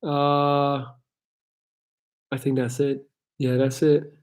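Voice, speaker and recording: A man's voice: mid-range voice, flat delivery, very slow. A man from Belgium with an American-sounding English accent, hosting a casual live Q&A and answering chat questions bluntly and candidly, swearing freely. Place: his parents' house.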